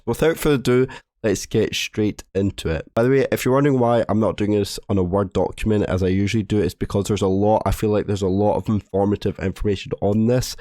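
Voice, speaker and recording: Hoarse low-voiced man hosting. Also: warm tone, no room sound, clean studio sound. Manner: rambling, apologetic, restarts words often. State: hoarse from a cold. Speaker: gaming video host. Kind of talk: casual commentary reading a game update.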